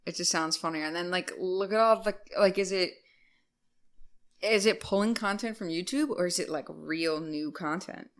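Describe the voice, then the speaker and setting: Animated young woman chatting with lots of fillers. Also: lowish voice, moderate pace. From Rhode Island. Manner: animated, many "like" fillers, loud bursts. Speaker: podcast co-host. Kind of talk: casual chat.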